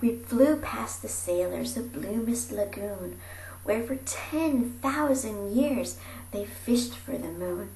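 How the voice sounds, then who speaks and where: Female storybook reader, theatrical and highly expressive, unhurried pace, roomy amateur recording. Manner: theatrical, dropping into breathy whispers and calling out. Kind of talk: animated rhyming picture-book read-aloud. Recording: roomy echo with faint background noise.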